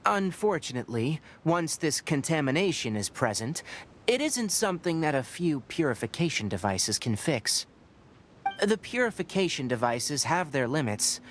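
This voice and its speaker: An actor voicing a young forest ranger scholar who explains things in a measured, matter-of-fact way. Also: male voice, fairly high pitch, big pitch swings, slight background noise. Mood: explaining.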